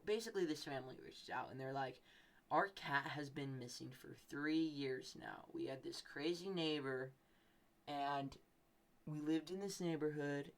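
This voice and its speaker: A man's voice: on the high side, fairly bright tone. A young man hosting a podcast, telling a story casually in a rambling, playfully exaggerated way. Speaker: podcast host.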